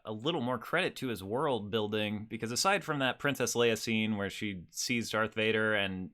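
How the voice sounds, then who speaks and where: A man's voice: on the low side, normal speed, a bit bright. A man co-hosting a podcast, discussing things in a casual, jokey, conversational way. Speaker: podcast co-host.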